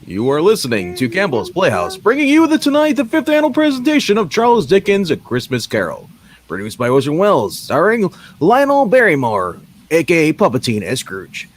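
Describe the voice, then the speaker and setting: A man's voice: a little high, huge pitch swings, fairly quick. A man playing a grand, tongue-in-cheek announcer in the style of 1930s radio, with some noise behind him. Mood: grand, playful.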